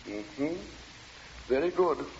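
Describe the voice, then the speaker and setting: An actor playing a weary, courteous but secretive religious lodger in an old radio drama, speaking formally and haltingly, as if weighing each word. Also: male voice, medium pitch, expressive. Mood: formal, reserved. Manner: formal, halting, quoting scripture solemnly, abruptly sharp. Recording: dull, noisy, reverberant old radio recording.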